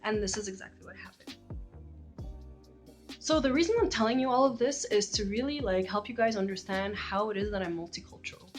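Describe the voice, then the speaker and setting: A woman's voice: mid-range voice, fairly quick, faint music behind. An Egyptian woman in her twenties, talking casually to camera about her life as a channel host, with many likes and ums. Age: 20s.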